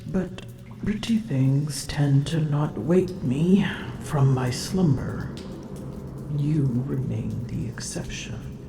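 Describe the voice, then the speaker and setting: A drowsy, aloof she-dragon, played by a woman in an ASMR audio roleplay, her voice gravelly and often sinking to a whisper. She drawls each word at a very slow, unhurried pace. The sound is roomy, with loud drips behind. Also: deep voice, expressive, background noise, heavily acted. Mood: weary. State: weary. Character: drowsy, aloof female dragon, vain and teasing.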